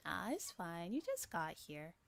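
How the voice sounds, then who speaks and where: A woman voicing a grudging young male visual novel hero with a lowered, curt, grumbling manner. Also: huge pitch swings, unhurried, vocal fry, heavily acted. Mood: reassuring.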